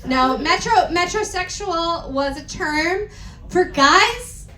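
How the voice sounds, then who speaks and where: Casting: female comic reader, high and very animated, unhurried theatrical delivery, live echoing room. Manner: theatrical, exaggerated, breaks into amused asides. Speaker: performer reading aloud to an audience. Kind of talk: comic dramatic reading of fanfiction. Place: live event room before an audience. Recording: roomy live venue, echoing.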